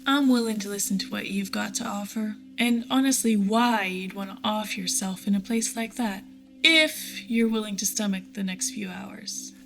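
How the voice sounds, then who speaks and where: A woman's voice: mid-range voice, steady tone, moderate pace, vocal fry, fairly bright tone. An actress playing a sly, hard-bitten female bounty hunter in an audio drama, cool, sardonic and taunting. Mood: wry, cool. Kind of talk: dramatic audio fiction acting. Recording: music under the voice.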